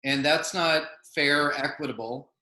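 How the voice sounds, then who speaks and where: Bright-voiced thoughtful man, echoey room. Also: fairly high pitch. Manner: thoughtful, measured, hedges with "I think" and "you know". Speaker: session moderator. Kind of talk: panel discussion.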